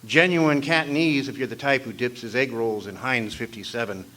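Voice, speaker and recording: An actor playing a wry, deadpan hardboiled private detective, narrating and trading lines in an old-time radio noir style. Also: male voice, medium pitch, moderate pace, natural voice. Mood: wry narration. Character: wisecracking hardboiled 1940s private detective, narrating his own case. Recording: faintly noisy live stage recording.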